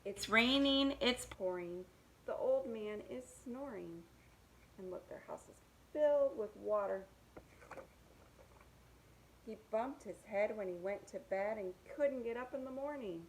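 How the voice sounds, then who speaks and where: A woman's voice: fairly high pitch, measured pace, creaky, slight background noise, poor audio. A woman reading picture books aloud to young children, with sing-song rhymes and simple asides about the pictures.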